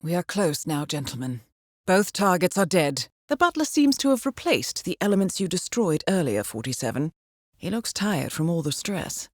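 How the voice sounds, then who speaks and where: A composed, middle-aged spy handler briefing an assassin in a video game. Her voice sits fairly low and moves expressively through each phrase, formal and dryly understated. The recording is close and dry, with no room sound. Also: big pitch swings, moderate pace, dry recording. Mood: measured, businesslike. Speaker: mission handler. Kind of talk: scripted game voice acting, mission briefing.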